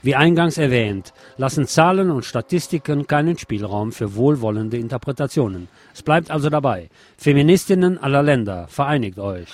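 A man's voice: medium pitch, animated, normal speed, few pauses, a little mellow. A man presenting on radio, reading scripted news and political commentary.

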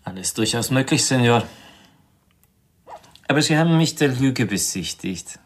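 An actor playing a polite, guarded Mexican hotel employee with a formal, courteous manner in a radio play. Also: male voice, mid-range voice, animated, a little slow. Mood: politely affronted.

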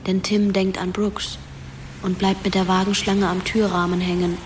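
A woman playing the watchful, tender ghost of a dead teenage girl, narrating a radio play quietly and in measured phrases. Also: medium pitch, flat delivery, a little hiss or hum, natural voice. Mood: quiet, observing. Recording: music behind the voice.

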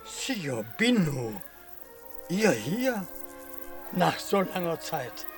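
A man's voice: a little high, very animated, very slow, soft background music, exaggerated voice. An actor playing an old shepherd in a radio crime drama, loyal and deferential to his master. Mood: humble, surprised. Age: older. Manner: deferential, obliging. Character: humble, loyal old shepherd serving a mafia boss.

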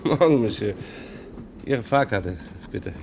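An actor playing a courteous but insistent French train conductor in a radio drama, with a wry manner. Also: male voice, on the low side, animated, slow pace, creaky, background noise, full character voice. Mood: polite, firm.

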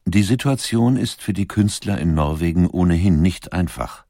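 Low voiced guy reading documentary narration, measured and factual, really dry recording. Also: expressive, warm tone, dry recording.

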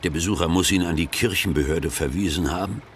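Deep-voiced sanctimonious churchman, played by a man. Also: fast talker, slight background noise, natural voice. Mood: pious, aloof. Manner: unctuous, preacherly, formal. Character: sanctimonious male church official, pious and evasive. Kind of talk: German radio drama acting.

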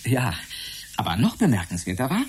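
Earnest, lively young research assistant. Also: male voice, medium pitch, fairly quick, breathy edge, heavily acted. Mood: polite, earnest. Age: young adult. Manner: precise, deferential, hesitant when embarrassed. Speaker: actor in a radio drama. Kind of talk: German radio drama dialogue.

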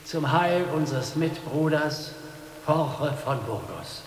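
An actor playing a solemn monk leading prayer in a radio drama, with ceremonial, intoned delivery. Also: male voice, fairly high pitch, animated, takes it slowly. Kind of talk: German radio drama acting. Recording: long church-like reverb, faint noise.

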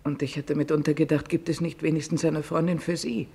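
A man's voice: on the high side, level tone, fairly quick, creaky, mellow, voice pushed up. A man reading the part of an anguished woman survivor who earnestly and vividly recounts her memories in an audiobook. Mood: urgent remembering. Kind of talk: audiobook testimony narration.

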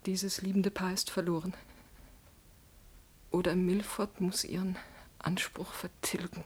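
A woman's voice: fairly low pitch, level tone, unhurried, darkish tone, husky. An actress playing a proud, jealous noblewoman in a classical radio drama, speaking in a theatrical, imperious manner. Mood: agitated, resolute. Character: proud, jealous noblewoman, a passionate prince's mistress.

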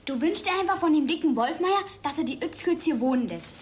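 A woman playing a lively, bossy child in a German drama. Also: high voice, brisk, slight background noise. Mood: eager, insistent. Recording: music behind the voice.

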